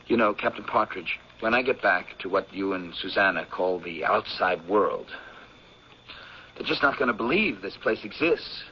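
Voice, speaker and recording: An actor in an old-time radio drama playing an agitated, incredulous man of twenty-nine, excitable and protesting. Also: lowish voice, moderate pace, natural voice. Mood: hesitant, reflective. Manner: excitable, protesting, stammering when unsure. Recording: muffled, noisy vintage radio broadcast.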